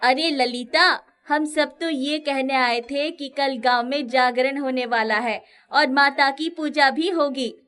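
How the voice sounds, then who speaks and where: Woman acting a high-voiced, emphatic village neighbour. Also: steady tone, takes it slowly, fairly bright tone. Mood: friendly, instructing. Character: bossy, friendly village woman organising a festival.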